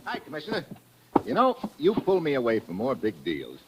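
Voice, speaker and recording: A man's voice: mid-range voice, huge pitch swings, fairly quick, over loud music. A man with an American accent playing a tough, hard-boiled adventurer agent in a 1940s radio drama. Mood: breezy. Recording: noisy, clicking 1940s radio recording.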